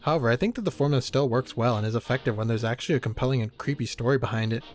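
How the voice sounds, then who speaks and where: A man's voice: medium pitch, rapid-fire. A man giving comedic video essay commentary in a sarcastic, joking, casually profane way. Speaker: YouTube horror reviewer. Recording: music behind the voice. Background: background music.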